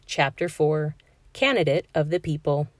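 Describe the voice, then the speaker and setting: A woman narrating a children's picture book aloud in a clear, measured storytelling manner for a classroom. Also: low voice, no room sound, clean studio sound.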